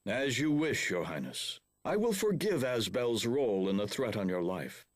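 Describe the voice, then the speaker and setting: A man's voice: medium pitch, very animated, normal speed, no room sound, clean studio sound. An actor playing a stern, middle-aged lord and father in a video game, speaking formally and commandingly. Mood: grave, formal. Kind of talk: English video game cutscene acting.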